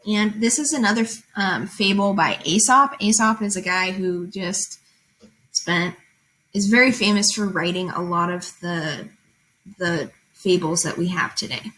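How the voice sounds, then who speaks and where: A woman's voice: fairly low pitch, unhurried, fairly bright tone, a little hiss or hum, low-quality recording. A woman reading fables aloud and explaining them as a teacher, in an informal, conversational way with guiding questions.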